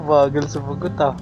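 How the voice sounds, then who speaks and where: A man, a motorcycle taxi rider chatting casually and teasing over a helmet intercom, quick to laugh. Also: fairly high pitch. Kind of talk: casual joking intercom chat. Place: outdoors on a motorcycle in street traffic. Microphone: helmet intercom microphone. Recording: dull helmet intercom audio with music.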